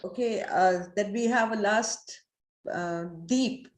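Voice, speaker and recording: A woman's voice: medium pitch, slow pace. An Indian woman moderating and interpreting in an online question session, recorded in an echoing room.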